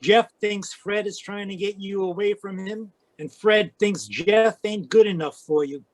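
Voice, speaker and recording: A man's voice: high-pitched, fairly even intonation, a little slow, no room sound. A man playing a pushy, slick, scheming villain in an old-time radio drama. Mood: smug. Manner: slick, menacing, calls woman baby. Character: scheming, pushy male villain in frontier melodrama.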